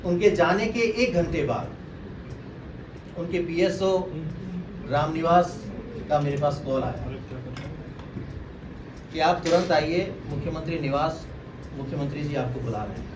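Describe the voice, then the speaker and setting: A man with a slightly high voice speaks to the press in a deliberate, emphatic way, repeating key phrases for stress. He is picked up from some distance in an echoey room, with clear noise around him. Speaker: former political aide making a public statement. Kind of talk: press conference statement.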